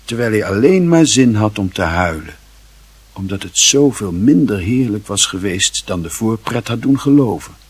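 A man's voice: fairly low pitch, animated, normal speed, a little hiss or hum. A man reading scripted literary narration as the narrator of a radio drama.

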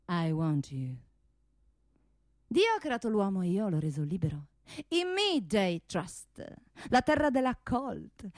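Woman playing a vain, high-voiced talking pistol. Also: no echo, clean studio sound. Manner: self-important, theatrical, slips into English. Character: vain, seductive female pistol, world-travelled luxury product. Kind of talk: theatrical monologue.

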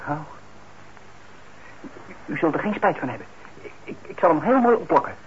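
An actor in a radio play as a shy, eager young working-class lad who stammers and hesitates. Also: male voice, on the high side, lively intonation, normal speed, lightly acted. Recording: dull, noisy old radio recording.

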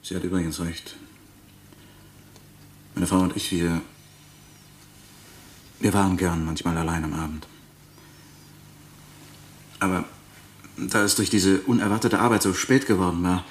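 An actor playing a defensive husband under police questioning in a radio crime drama, halting and evasive. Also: male voice, low voice, fairly even intonation, a little hiss or hum. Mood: regretful.

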